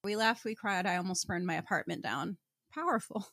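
A woman's voice: mid-range voice, a little fast, vocal fry, fairly bright tone, no room sound, studio quality. A woman co-hosting a podcast in casual chat, with wry jokes and mock-solemn asides. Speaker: podcast co-host.

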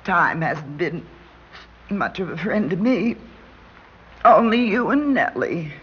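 A sentimental, tipsy older woman in a radio play. She talks slowly and fretfully, her pitch swooping as she confides. The old recording is dull with a faint hiss. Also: expressive, breathy edge, full character voice. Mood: plaintive. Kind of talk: old-time radio drama acting.

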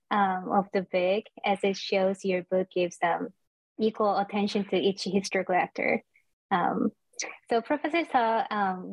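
A woman's voice: mid-range voice, darkish tone, no echo. Female host, courteous formal questions, muffled lo-fi recording with no room sound. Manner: polite, formal, many "um"s. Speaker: interviewer, host. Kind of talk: scripted interview questions.

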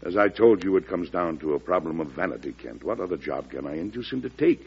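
An actor playing a shrewd, folksy, patient president in an old-time radio drama, speaking in a measured, deliberating way. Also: male voice, low voice, expressive, creaky, a little mellow, breathy edge, heavily acted. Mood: probing. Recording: dull old radio recording.

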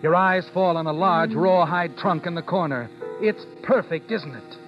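A man's voice: a little high, normal speed, highs cut off, lightly acted. A man playing an ominous, insinuating narrator of old radio suspense, addressing the guilty listener directly with pointed questions. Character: ominous male narrator needling the guilty woman. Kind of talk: second-person 1940s radio suspense narration. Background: dramatic music.

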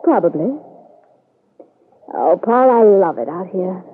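A woman's voice: medium pitch, expressive, slow, slight background noise. An actress playing a breezy, self-assured, sociable married woman on vacation in an old radio soap. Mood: breezy, friendly. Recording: muffled narrow-band vintage radio recording.

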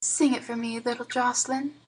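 A woman voicing a frail, dying old woman who speaks softly and fondly in a slow murmur. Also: on the high side, steady tone, slightly rough, rough recording. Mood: tender. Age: older.

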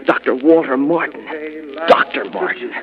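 A man's voice: medium pitch, moderate pace, a crowd in the background, close to an everyday voice. An actor playing an anguished, hot-headed young man in a declamatory old radio drama style. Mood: bitter anguish. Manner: overwrought, bitter, declamatory. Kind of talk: 1940s radio drama acting. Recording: muffled old radio recording.

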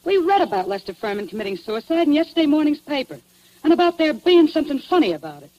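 Mouthy slangy american dame in a 40s radio drama, highish voice, swoops up and down. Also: female voice, very animated, fast, lo-fi sound. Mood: brash, frank. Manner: slangy, pushy. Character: brash, wisecracking dame defending her friend. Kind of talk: 1940s radio acting.

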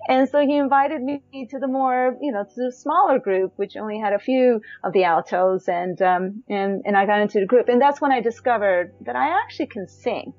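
A woman in her thirties with a high voice, reflectively telling her life story in a chatty way, full of fillers and restarts. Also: a little mellow, no echo, highs cut off. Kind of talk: personal storytelling.

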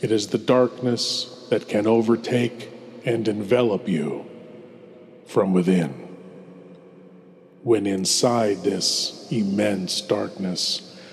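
A man's voice: fairly low pitch, steady tone, slow, gravelly, slight background noise. A man playing a sinister, courtly horror host, speaking in a grandiloquent, ominous and theatrical way. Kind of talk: theatrical old-time horror introduction. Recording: long cavernous reverb.

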